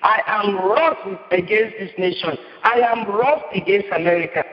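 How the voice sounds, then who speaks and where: A man's voice: high-pitched, normal speed. A man delivering an impassioned prophetic sermon, repeating his lines with rising force. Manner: impassioned, repetitive declamation. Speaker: preacher. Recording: muffled, reverberant hall.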